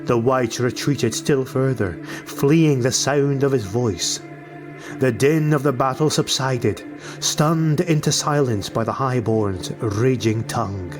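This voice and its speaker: A man's voice: mid-range voice, slow pace, music in the background. A man narrating a fantasy audiobook in a dramatic, scripted storytelling style.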